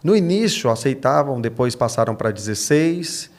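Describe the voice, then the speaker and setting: A Brazilian man answering as a podcast guest, speaking conversationally and haltingly, often tagging phrases to check agreement. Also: mid-range voice, lively intonation.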